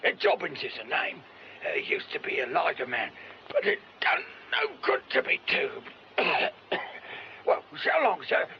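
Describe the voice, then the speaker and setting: An actor playing a chatty working-class London caretaker with a Cockney accent and a gravelly, familiar manner in a radio drama. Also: male voice, high voice, huge pitch swings, measured pace, full character voice. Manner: colloquial, cheerfully familiar. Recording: dull radio recording, faint noise.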